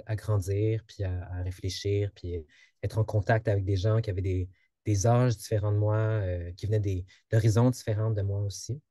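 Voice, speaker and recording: A man's voice: on the low side, warm tone, no room sound, studio quality. A man in his thirties with a Québécois accent, giving an informal presentation with many fillers and restarts. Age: 30s. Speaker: project presenter. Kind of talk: informal presentation in an online info session. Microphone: video call.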